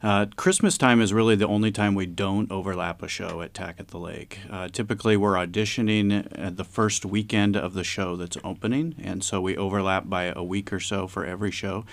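A man's voice: low voice, fairly even intonation, brisk, gravelly, few pauses. A man speaking as a community theater director, answering conversationally in an interview.

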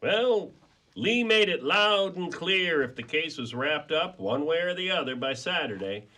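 A man's voice: fairly high pitch, lively intonation, unhurried, pushed and bright. A man playing a brawny, easygoing pet detective in a radio play, a folksy and rambling talker. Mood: easygoing, chatty. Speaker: actor in a community radio play.

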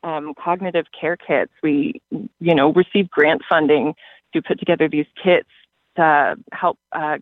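A man's voice: on the high side, fairly even intonation, a little slow, no echo, phone-quality sound. An American man who works for a library system, answering interview questions conversationally.

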